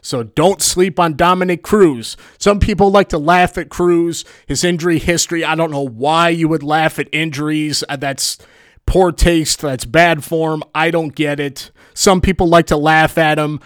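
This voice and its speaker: A man hosting a solo podcast, previewing fights in a chatty, rambling way with a booming voice, often correcting himself. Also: steady tone, a little slow, fairly bright tone, no room sound, studio quality. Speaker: podcast host. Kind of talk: solo sports preview commentary.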